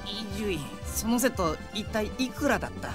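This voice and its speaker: A man's voice: sits high, lively intonation, normal speed, music in the background. A man playing a blunt, wisecracking teenage schoolboy in an audio drama, speaking casually and expressively. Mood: wry. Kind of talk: Japanese audio drama acting.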